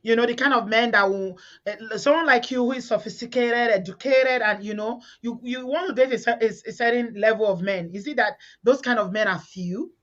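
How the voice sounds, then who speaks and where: A woman hosts a casual chat with a bright, forceful voice. She is emphatic and repeats her points. The sound is a little dull and lo-fi. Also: fairly high pitch, a little fast, hardly any pauses. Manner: emphatic, repeats herself, endearments. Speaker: host.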